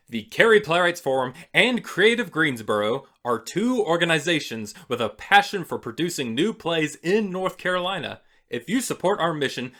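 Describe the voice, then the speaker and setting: A man's voice: a little high, bright, forceful tone. A man presenting radio plays as a scripted announcer with a theatrical presenter's delivery. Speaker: radio play festival announcer.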